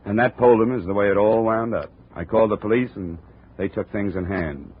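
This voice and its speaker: A deep, husky-voiced man playing a hardboiled investigator, speaking in a dry, clipped way. He narrates and acts in the lead role. The sound is a tinny old radio transcription. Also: a little fast. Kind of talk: 1950s radio drama narration and acting.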